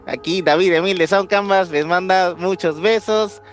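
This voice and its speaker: Man from Puerto Rico, high voice, casual podcast co-host, light music behind. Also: normal speed.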